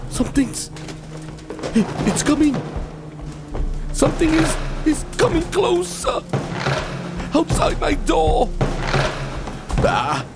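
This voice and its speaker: A man acting in a radio play as a miserly old businessman, gruff and easily frightened, with a stammering, blustering, theatrical manner. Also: very high voice, heavily acted.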